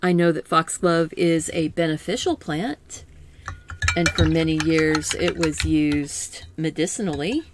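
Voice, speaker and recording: A middle-aged woman teaching a live painting lesson in a calm, soothing voice, gently thinking aloud as she works. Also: deep voice, noisy background. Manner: slow, gentle, thinking aloud with small laughs. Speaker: art teacher.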